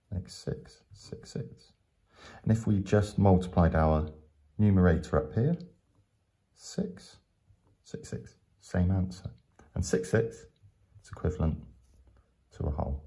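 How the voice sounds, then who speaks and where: Male teacher, dark mellow tone, gravelly creak, patient step-by-step explaining. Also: sits low. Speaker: maths teacher.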